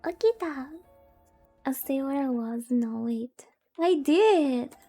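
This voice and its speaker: Female VTuber, high soft-toned voice, unhurried playful rambling as she plays a visual novel, game music faintly behind. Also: animated. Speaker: VTuber streamer. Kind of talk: casual chat while playing a visual novel.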